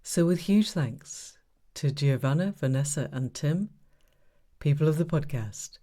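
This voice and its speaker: A woman hosting a podcast, giving an earnest, measured introduction. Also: deep voice, expressive, warm tone. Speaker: podcast host.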